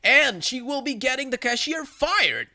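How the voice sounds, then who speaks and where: A man's voice: very high voice, sing-song, a little slow, sharp tone. A man reading first-person online stories as a scripted narration.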